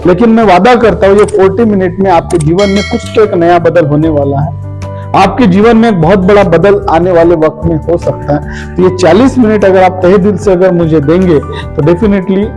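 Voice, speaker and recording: High-pitched man giving motivational talk. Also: moderate pace, dark tone, soft background music, rough recording. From Maharashtra, India. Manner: interactive, asks the audience questions, repeats phrases for emphasis. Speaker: motivational speaker for direct selling. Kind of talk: motivational seminar speech with personal anecdotes.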